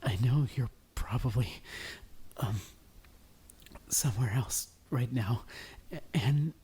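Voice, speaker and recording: A woman voicing a distraught, defensive teenage boy in an animated drama, stammering and emotionally raw. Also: rumbling low, takes it slowly, breathy edge, full character voice. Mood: shaky, subdued. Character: distraught, defensive teenage boy, guilt-ridden and foul-mouthed. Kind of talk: dramatic voice acting in a fan-made animation.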